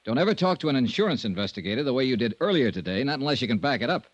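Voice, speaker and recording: An actor playing a dogged, wry insurance investigator in old radio noir, direct and skeptical. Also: male voice, mid-range voice, sing-song, racing, no echo. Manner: hard-boiled, direct, skeptical. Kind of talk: 1950s radio noir acting and narration.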